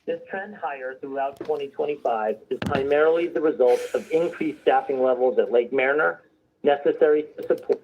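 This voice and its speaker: A man's voice: medium pitch, a little fast, distant mic, phone-quality sound, a little hiss or hum. A man formally reading a prepared financial results presentation on a conference call, as a company executive. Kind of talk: scripted earnings call presentation.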